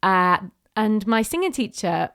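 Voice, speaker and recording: A woman's voice: mid-range voice, big pitch swings, measured pace, fairly bright tone. A woman from Wales with a Welsh accent, chatting as a guest in an interview, laughing often and restarting her sentences. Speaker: guest, singer and actress. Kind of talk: casual conversational interview.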